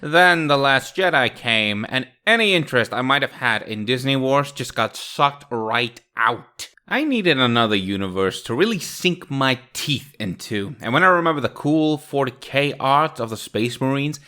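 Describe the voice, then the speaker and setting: A man's voice: medium pitch, lively intonation, normal speed, few pauses, bright, forceful tone. A man hosting an informal scripted commentary, talking in a wry, joking way. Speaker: online video host, reviewer.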